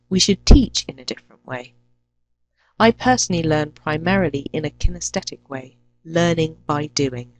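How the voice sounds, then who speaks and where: A woman with an English accent, introducing herself as an English teacher and listing her lessons clearly and deliberately. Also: low-pitched, rough recording. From England. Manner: clear, deliberate, lists items one by one. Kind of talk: self-introduction to a teaching channel.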